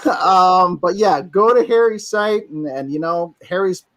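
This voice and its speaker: A man's voice: high voice, measured pace, a bit bright. A man, a guest voice actor, chatting wryly and laughing. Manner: wry, laughing. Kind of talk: casual chat.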